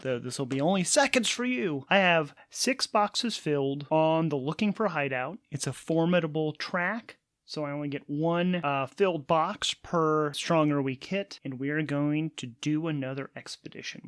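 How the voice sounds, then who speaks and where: Male solo game host, slightly higher-pitched voice in a dry close recording, casual and thinking out loud through play. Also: dry recording. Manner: thinks aloud, alternating game mechanics and storytelling. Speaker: solo tabletop game player and narrator.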